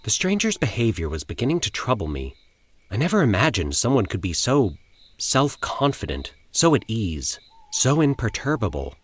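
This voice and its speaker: A man reading a scripted first-person horror story in a formal, literary, measured manner. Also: lowish voice, wildly swooping pitch, husky, no room sound. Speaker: narrator of horror fiction.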